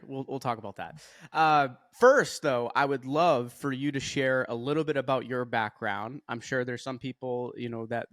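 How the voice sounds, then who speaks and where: A man's voice: medium pitch, fairly bright tone, no room sound, clean studio sound. A man hosting a podcast, upbeat and friendly, who often repeats words as he finds his phrasing. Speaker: podcast host. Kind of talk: podcast interview.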